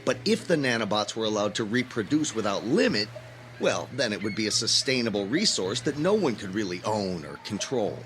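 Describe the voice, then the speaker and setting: Earnest young activist student, faint music. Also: male voice, on the low side, big pitch swings, brisk, fairly bright tone. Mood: righteous. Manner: earnest, preachy, intense. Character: earnest, idealistic young male graduate student activist, agitated. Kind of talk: audio drama acting.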